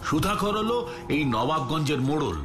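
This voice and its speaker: A man's voice: a little high, very animated, moderate pace. A man voicing a distressed, dignified village landlord in a cartoon, pleading and dramatic. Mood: earnest. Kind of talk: cartoon voice acting in Bengali. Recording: roomy echo, music underneath.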